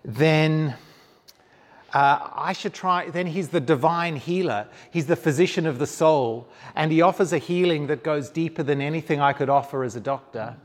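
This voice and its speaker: Male guest speaker from Zambia, wry and self-mocking, slightly higher voice, long hall reverb. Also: normal speed. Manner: humorous, self-deprecating. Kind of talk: onstage interview, storytelling.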